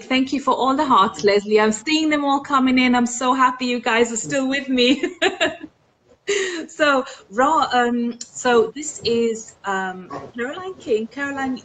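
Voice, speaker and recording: A woman's voice: fairly high pitch, fairly bright tone, a little hiss or hum, poor audio. A woman with an Austrian accent hosting a live online show, chatting casually with many fillers.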